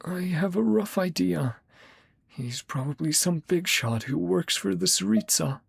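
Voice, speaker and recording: A woman's voice: lowish voice, animated, a little slow, vocal fry, husky. A woman game streamer giving casual let's-play commentary and reading game dialogue aloud. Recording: game music behind voice. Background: game music.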